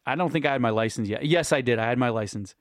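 A man in his late thirties telling stories in a relaxed, casual podcast chat with friends, often laughing. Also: medium pitch, quick pace, no echo, clean studio sound. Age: 30s. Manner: laid-back storytelling with frequent laughs. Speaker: podcast host.

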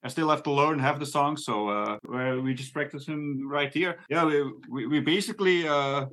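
A man with a Belgian accent, a band member chatting in a casual interview, halting with many fillers and restarts. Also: fairly high pitch, a bit bright. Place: rented vacation house in the countryside.

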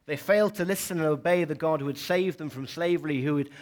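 A young man with a South Welsh accent gives a sermon, a touch higher than most men. He talks in a loose, conversational way and turns aside to the congregation now and then. The sound is a church service. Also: quick pace. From South Wales. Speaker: preacher.

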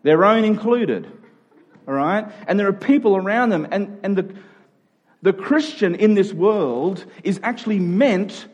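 This is an Australian man preaching live to a congregation. His voice sits a little higher than most men's. He keeps the sermon conversational and informal, putting rhetorical questions to his listeners and joking with them. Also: lively intonation, a little slow, darkish tone, muffled recording.

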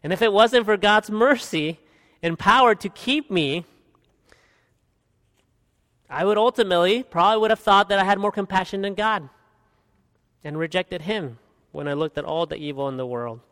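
High-voiced earnest pastor in a reverberant hall. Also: male voice, moderate pace, pauses often. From Guatemala. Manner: earnest, rising to emphatic peaks and dropping to quiet asides. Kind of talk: expository sermon. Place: church hall.

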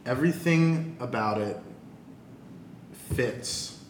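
A man's voice: mid-range voice, takes it slowly. A man chatting casually as a podcast panelist. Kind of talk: casual chat. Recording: echoey room, faint noise.

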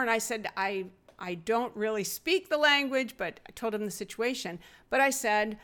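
A middle-aged woman telling personal stories in a relaxed, earnest conversational way. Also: a little high. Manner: earnest, reflective, with fillers and laughs. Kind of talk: conversational storytelling.

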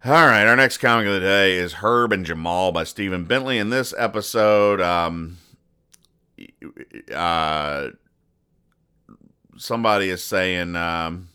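A man hosting a podcast in a casual, rambling commentary style, explaining jokes with deadpan mock-earnestness. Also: deep voice, slow, long pauses, clear, resonant tone. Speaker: podcast host.

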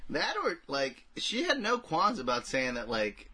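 Male podcast co-host, raspy but bright, casual and meandering, talking movies with his buddies. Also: mid-range voice, wildly swooping pitch, a little slow. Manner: rambling, crude humor, frequent "like" and "you know". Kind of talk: casual film discussion among friends.

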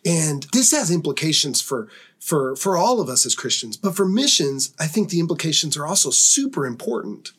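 Guy talking church stuff, kinda husky voice, gets emphatic. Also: on the high side, sing-song, moderate pace, fairly bright tone. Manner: earnest, building to emphatic proclamation. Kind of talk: casual devotional discussion.

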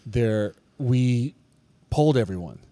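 A man's voice: medium pitch, animated, takes it slowly, warm tone, no room sound. A man co-hosting a church podcast, talking candidly and confessionally in casual chat, often circling back and restarting his sentences.

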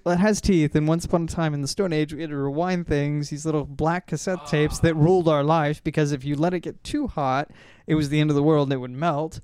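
A man's voice: on the high side, fairly even intonation, brisk, few pauses, a little mellow. A young man hosting a podcast, chatting casually and rambling through stories with lots of filler words. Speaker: podcast host. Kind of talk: casual pop-culture chat.

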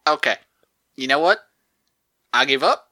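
A man's voice: medium pitch, expressive, moderate pace, sharp tone, no room sound, clean studio sound. A man doing an immortal cowboy with a hammy drawl. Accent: put-on cowboy drawl. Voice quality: bad cowboy accent. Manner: folksy, unbothered, grandly cryptic. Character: immortal timeless male cowboy news host. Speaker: segment host. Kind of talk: comedic podcast bit.

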